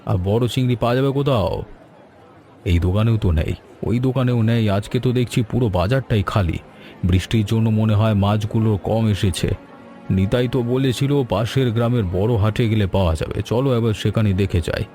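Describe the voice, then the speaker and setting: Man voicing an earnest, low-voiced village father. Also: moderate pace, warm tone, soft background music, close to an everyday voice. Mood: worried, searching. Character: kindly middle-aged village father out fish shopping. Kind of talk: cartoon story dialogue.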